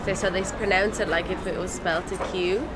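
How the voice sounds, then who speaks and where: A woman's voice: lowish voice, fairly even intonation, fairly quick, loud music behind the voice, poor audio. A young Irish woman, a musician, talking casually in an interview at an outdoor café terrace, loose and chatty. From Ireland. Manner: loose and chatty, full of "like" and "you know".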